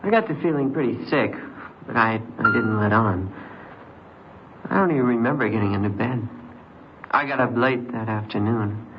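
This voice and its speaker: A man's voice: mid-range voice, normal speed, noisy background, close to an everyday voice. An actor playing a naive, boastful American runaway teenage boy who poses as a soldier, hesitant and defensive, in an old-time radio crime drama. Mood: confiding, subdued. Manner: hesitant, defensive, eager to seem grown-up. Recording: dull vintage radio recording, music behind.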